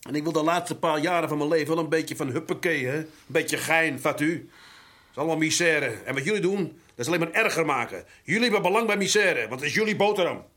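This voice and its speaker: A man playing a gruff, fed-up older working-class husband in a Dutch radio drama, talking in a blunt, colloquial, dismissive way. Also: fairly high pitch, quick pace, fairly bright tone.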